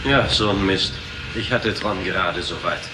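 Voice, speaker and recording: An actor playing a frustrated, sardonic programmer in an audio drama, grumbling wryly. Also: male voice, low voice, moderate pace, noisy background, close to an everyday voice. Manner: wry, grumbling. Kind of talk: dramatised audio play from a film.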